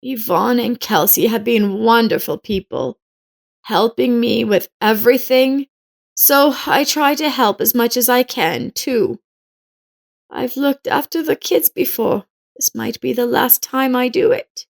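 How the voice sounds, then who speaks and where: A caring elderly woman neighbor, acted out by a female narrator in a dramatic audio story. Her voice is pitched high, and she speaks with earnest, sorrowful emphasis. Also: expressive, unhurried, vocal fry, full character voice. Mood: wistful.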